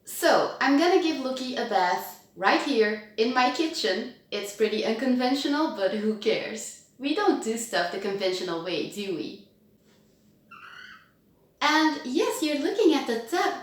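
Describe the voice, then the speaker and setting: Woman, 30s, from Belgium, chatty home vlogger, fairly high voice, very echoey kitchen. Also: sing-song, unhurried. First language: Dutch. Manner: chatty, bubbly, talks to her owl and viewers. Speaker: owl-keeping vlogger. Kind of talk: casual tutorial vlog. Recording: very echoey room.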